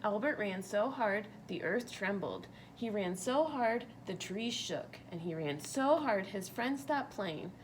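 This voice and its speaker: A woman reads a children's picture book aloud at storytime, stopping now and then to ask her listeners questions. Her voice sits at a middle pitch and moves up and down in an animated way. A faint hiss sits in the background. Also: unhurried, few pauses, poor audio. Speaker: storytime reader.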